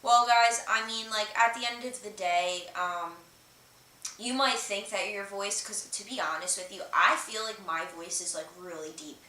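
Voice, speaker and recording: A woman livestream host talks off the cuff in a bright, forward voice. She rambles informally, with many hesitations and filler words. The sound is roomy and distant from the microphone, with a faint hiss behind her. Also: medium pitch, moderate pace. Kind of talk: casual livestream chat, explaining and reading out viewer comments.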